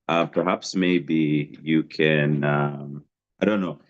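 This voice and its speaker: Very deep man over dull call audio. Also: fairly even intonation, slow. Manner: formal, slightly unsure of the format. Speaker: researcher and panelist. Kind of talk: panel self-introduction.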